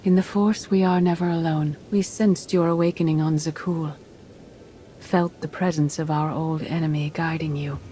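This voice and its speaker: Game role: actress as weary female Jedi mentor, low mellow voice, grave measured delivery, cryptic air. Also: a little hiss or hum, natural voice. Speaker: video game character voice actor. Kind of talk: scripted dramatic game dialogue.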